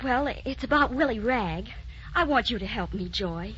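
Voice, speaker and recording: An actress playing a lovestruck, headstrong young daughter with an eager, pleading, petulant manner in a radio drama. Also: female voice, fairly high pitch, lively intonation, moderate pace, fairly bright tone. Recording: muffled old broadcast with clear noise.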